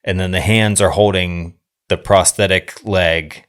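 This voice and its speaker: A man with a notably low voice talks as a podcast co-host. His delivery is casual and exploratory, and he stops to question his own wording as he describes things. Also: fairly even intonation, unhurried, a bit bright. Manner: searches for words, checks terms aloud. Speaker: podcast co-host discussing films. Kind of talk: casual conversational film recap.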